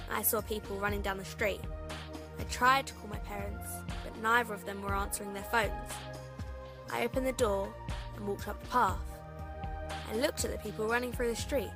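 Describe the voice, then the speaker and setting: A woman's voice: medium pitch, close to an everyday voice. A young woman playing a frightened, lonely schoolgirl survivor, narrating an audio drama in an anxious, confiding way. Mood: fearful recounting. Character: frightened lonely schoolgirl trapped at home in apocalypse. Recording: voice over loud music bed. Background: music.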